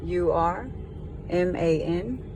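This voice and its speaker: Hesitant woman caller with background noise. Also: fairly low pitch. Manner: hesitant, halting. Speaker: caller reporting to sheriff's department. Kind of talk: explanatory phone conversation. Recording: noisy phone call, car and traffic noise.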